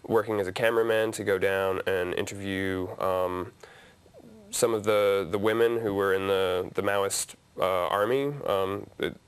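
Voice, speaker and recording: A man's voice: low-pitched, fairly even intonation, measured pace, clean tone. A man from a media collective talking casually in an interview, with frequent fillers and restarts. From grew up in Asia, Nepal. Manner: hesitant, many fillers and restarts.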